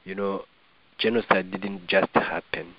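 Middle aged guy, rwandan accent, really low voice, talks earnest n measured, kinda muffled lo-fi recording. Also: level tone. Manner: measured, earnest, formal phrasing. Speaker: doctor, interview guest. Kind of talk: reflective personal testimony in an interview.

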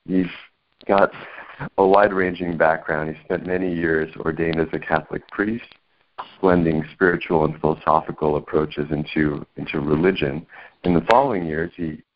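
A man hosting an interview over a video call, speaking in a measured, reflective way. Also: sits low, steady tone. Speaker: host. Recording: dull lo-fi call audio.

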